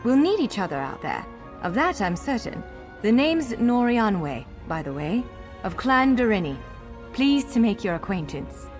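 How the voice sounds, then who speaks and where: A woman's voice: fairly high pitch, sing-song, unhurried. A woman playing a courteous, wry elf scholar guiding someone in a video game, articulate and formal yet playful. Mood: brisk, friendly. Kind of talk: video game character dialogue. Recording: long hall reverb over music.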